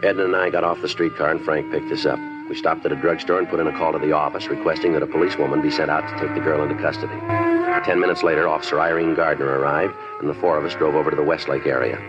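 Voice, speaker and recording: An actor playing a terse, deadpan police detective who narrates and questions suspects in a 1950s radio crime drama. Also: male voice, rumbling low, rapid-fire. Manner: clipped, deadpan, flat narration. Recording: lo-fi 1950s radio recording with music.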